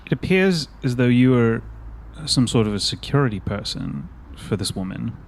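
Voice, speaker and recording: A man's voice: mid-range voice, expressive, normal speed, gravelly. A man roleplaying a caring investigator who speaks hesitantly and carefully. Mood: hesitant, concerned.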